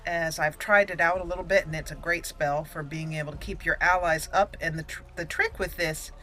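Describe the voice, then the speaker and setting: A woman's voice: sits low, fairly even intonation, fairly quick, bright, forceful tone. Chatty woman doing game commentary. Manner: chatty, easily amused. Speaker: gaming channel host. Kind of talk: casual roleplay gameplay commentary.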